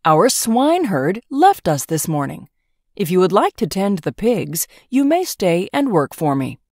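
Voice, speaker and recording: A woman voicing a self-important but obliging emperor in a storybook, loud and declamatory. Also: on the low side, big pitch swings, unhurried, no room sound, studio quality, full character voice. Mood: businesslike.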